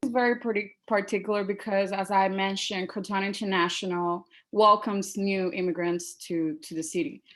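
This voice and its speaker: A woman's voice: mid-range voice, fairly even intonation, moderate pace, few pauses, fairly bright tone. A woman whose first language is Spanish, speaking English with a Spanish accent as a teacher at a virtual school fair, earnest and searching for words over a video call.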